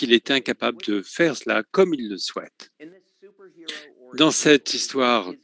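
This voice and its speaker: A man in a mid-range voice voices a talk in French as an interpreter. His delivery is measured and steady, broken now and then by filler sounds and quick self-corrections as he finds the right word. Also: medium pitch. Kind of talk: French voice-over of a conference talk.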